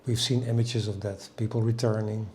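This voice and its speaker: A man interviewing his guest in a calm, encouraging way, often voicing short acknowledgements. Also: fairly low pitch, fairly quick, a little hiss or hum. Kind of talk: conversational interview.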